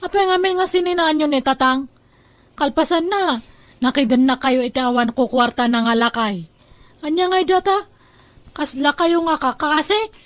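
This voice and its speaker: A woman's voice: high voice, normal speed, long pauses, natural voice. A woman with an Ilocano Filipino accent playing a carefree, self-indulgent young working daughter in an audio drama, offhand and dismissive. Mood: defensive.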